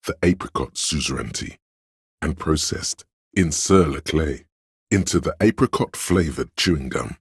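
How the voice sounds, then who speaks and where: An erudite inner encyclopedia for a video game in an extremely low, heavily husky voice. It lectures with measured, scholarly gravity and a pedantic streak. The sound is dry and close, with no room around it. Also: male voice, no echo, full character voice. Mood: scholarly, musing. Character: erudite, pedantic encyclopedia voice inside a detective's mind. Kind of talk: scripted game narration, lecture-like.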